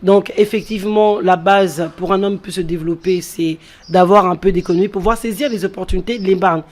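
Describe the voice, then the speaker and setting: A woman's voice: on the low side, fairly even intonation, brisk, a little mellow. A woman who grew up in France, talking as a guest in an interview and explaining things step by step, repeating key phrases for emphasis. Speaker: entrepreneur guest. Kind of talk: interview talk.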